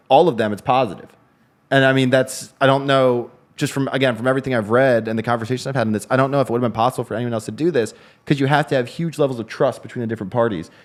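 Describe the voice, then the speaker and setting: A man co-hosting a casual news discussion show, talking in quick rambling bursts with frequent restarts. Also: medium pitch. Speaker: co-host.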